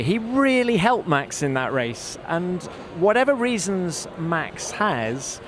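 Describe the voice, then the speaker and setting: A man's voice: on the high side, a little hiss or hum. A man hosting a motorsport podcast in a conversational discussion style.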